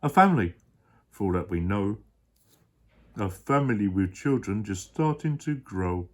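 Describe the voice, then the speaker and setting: Horton, a man voicing a gentle kind elephant. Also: lowish voice, animated, measured pace. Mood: pleading. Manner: earnest, pleading storybook reading. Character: gentle, kind-hearted big male elephant. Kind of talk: reading a picture book aloud.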